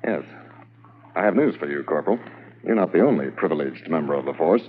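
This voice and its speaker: Measured Mountie sergeant, very deep voice, 1950s radio play, faint hiss. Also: male voice. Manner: measured, calm and practical. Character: steady, methodical Mountie sergeant. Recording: muffled, hissy 1950s radio transcription.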